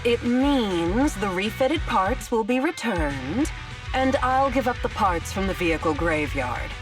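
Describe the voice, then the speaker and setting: A woman's voice: medium pitch, expressive, a little slow, recorded from a distance, over music, natural voice. A woman voicing a haughty, sly, easily irked cat-like villainess in an English game dub, condescending and testy. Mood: testy, dismissive.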